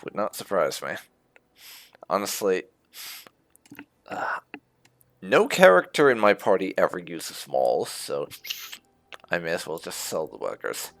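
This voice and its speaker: A man's voice: deep voice, big pitch swings, unhurried, gravelly, long pauses, fairly bright tone, no echo. A man giving casual let's play commentary as a gaming host, musing to himself with dry asides.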